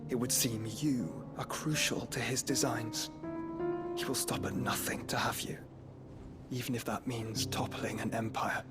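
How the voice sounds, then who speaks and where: A man's voice: mid-range voice, fairly even intonation, close to an everyday voice. A man as a grave young British nobleman, music behind. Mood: grave, confiding. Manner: grave, measured, formal diction. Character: ailing, earnest young nobleman, wielder of fire, devoted brother. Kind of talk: dramatic video game acting.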